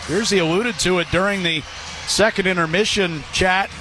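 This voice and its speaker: A man's voice: high-pitched, moderate pace, bright, forceful tone, slight background noise, low-quality recording. A man from the United States calling live hockey on the radio as play-by-play announcer, with a dry, wry sense of humour. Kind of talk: live sports commentary. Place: arena broadcast position.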